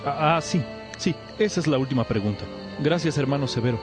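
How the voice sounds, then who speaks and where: A man's voice: medium pitch, animated, fairly quick, natural voice. An actor playing a lovesick young man, a respectful pupil who speaks earnestly and hesitantly in a religious radio drama. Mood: searching, respectful. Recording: music behind the voice.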